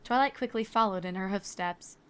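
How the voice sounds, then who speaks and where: A woman's voice: medium pitch, fairly quick, a bit bright, clean tone. A woman reading scripted third-person narration for a fan fiction story. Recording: background music under the voice.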